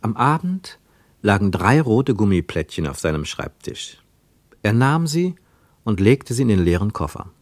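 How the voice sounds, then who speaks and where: Man as radio play narrator, low-pitched, measured literary delivery, dry close sound. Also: big pitch swings, no echo, full character voice. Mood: measured, reflective. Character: measured, literary male narrator of a travel tale.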